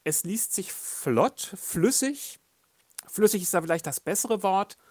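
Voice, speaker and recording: A man's voice: sits high, lively intonation, a little slow, darkish tone. A man in a casual podcast discussion, joking and searching aloud for the right words.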